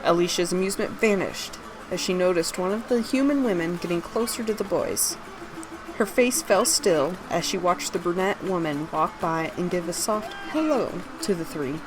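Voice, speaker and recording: A woman with a slightly low voice narrates a fantasy story. She reads scripted prose in the role of author and storyteller, with music clearly playing behind her. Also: lively intonation, normal speed, rough recording. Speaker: author reading own fantasy story aloud.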